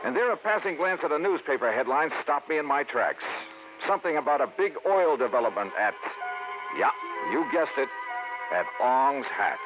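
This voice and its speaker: An actor playing a tough, decisive American investigator in an old-time radio drama, with clipped, emphatic delivery. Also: male voice, on the high side, very animated, normal speed. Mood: wry, dramatic. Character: tough, decisive hardboiled male insurance investigator, narrating. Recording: tinny vintage radio recording, music.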